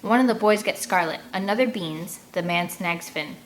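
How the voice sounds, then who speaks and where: A woman reading an audiobook aloud as host, with expressive narration and casual delivery. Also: lowish voice, moderate pace, a little hiss or hum, rough recording.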